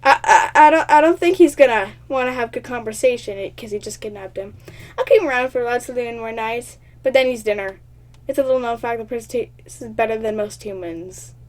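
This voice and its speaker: High-voiced, excitable woman gamer. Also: normal speed. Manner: excitable, chatty, stumbles over words while reading; laughs often, talks at herself. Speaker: gaming channel host. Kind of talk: reading a text game aloud with commentary.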